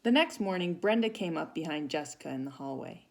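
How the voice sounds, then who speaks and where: Woman reading a kids book, kinda low and creaky, really up and down intonation. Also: lively intonation, brisk. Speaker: volunteer storybook reader. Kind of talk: animated children's storybook narration with asides.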